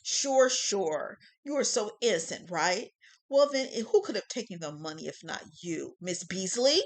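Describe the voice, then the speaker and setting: A blunt, pushy male prosecutor hammers at the witness, as a woman acts him out. He speaks loud and pressing, with a lively up-and-down pitch. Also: normal speed. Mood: accusing.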